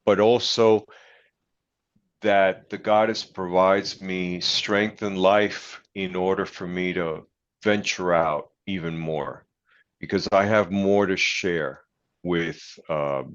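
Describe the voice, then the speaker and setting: An older man with a lowish voice talks very slowly as a guest in an interview. He is earnest and deliberate, stopping and repeating himself as he reflects. The sound is somewhat dull and lo-fi. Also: steady tone. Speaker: interview guest, priest and author.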